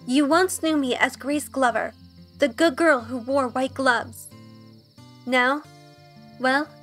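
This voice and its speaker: A woman's voice: high voice, fairly bright tone. A woman playing an earnest young schoolgirl witch who narrates and speaks in an audio drama. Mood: solemn, resolute. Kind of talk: first-person audio drama narration and dialogue.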